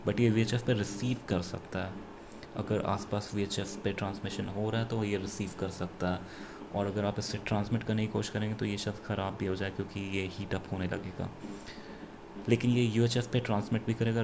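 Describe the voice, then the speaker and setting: A man's voice: lowish voice, gravelly, background noise. A man with an Indian accent gives a casual, unscripted product review, explaining things and mixing English phrases into his speech. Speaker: gadget reviewer.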